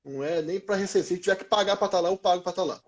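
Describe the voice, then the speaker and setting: Higher-voiced Brazilian man chatting casually. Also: very fast. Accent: Brazilian Portuguese. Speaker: former professional footballer being interviewed. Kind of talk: casual interview chat.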